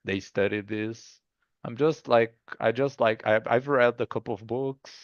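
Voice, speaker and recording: A man with a Brazilian accent, a guest in a casual interview, who talks haltingly and often restarts with "like". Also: medium pitch, no echo, muffled recording. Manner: halting, stops and restarts, says 'like' often. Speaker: guest artist.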